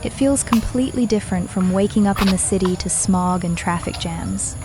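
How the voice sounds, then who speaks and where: A young woman from Vietnam, narrating a travel vlog about her home village in a calm, measured, explanatory voice-over. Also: mid-range voice. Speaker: vlogger. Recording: voice-over with clear background music. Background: background music.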